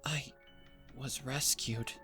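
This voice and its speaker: A man voicing a young female pony in a horror story, traumatized and fragile, speaking haltingly, with music behind. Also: medium pitch, fairly even intonation, voice pushed up. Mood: shaken grief. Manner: halting, repeating words.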